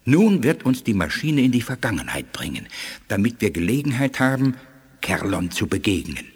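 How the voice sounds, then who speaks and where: An actor playing a thoughtful, composed alien male scientist with a husky voice, in a 1980s radio play. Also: fairly low pitch, very animated, normal speed, long reverb tail, full character voice.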